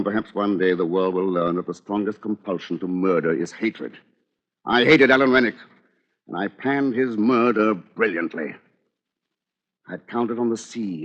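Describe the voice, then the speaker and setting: Guy acting arrogant english solicitor villain, deep formal voice, 1940s radio drama, muffled old recording. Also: natural voice. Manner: formal, articulate, sardonic. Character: coldly arrogant English solicitor confessing to murder. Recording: dull vintage radio recording.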